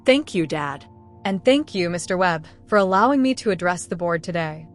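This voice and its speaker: A woman voicing a composed, determined businesswoman in a story, speaking in a formal, measured, assertive manner. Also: fairly low pitch, animated, soft background music, lightly acted. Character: composed, determined young businesswoman confronting her bosses.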